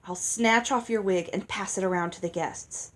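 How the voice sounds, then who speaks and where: A woman's voice: mid-range voice, normal speed, fairly bright tone, natural voice. A woman voicing a resentful, guarded teenage girl in a storybook, speaking in a terse, direct way. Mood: hissing threat. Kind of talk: audiobook character voice.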